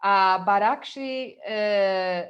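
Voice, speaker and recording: A woman from Poland, a podcast guest telling stories in an animated way and laughing easily. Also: mid-range voice, slow pace, clean tone, highs cut off. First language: Polish. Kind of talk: casual storytelling chat.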